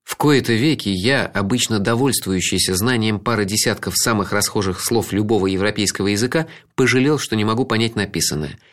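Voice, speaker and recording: A man's voice: on the low side, a little fast, hardly any pauses, a bit bright. A man reading a first-person story aloud, reflective and ironic. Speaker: audiobook narrator. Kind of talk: first-person literary narration.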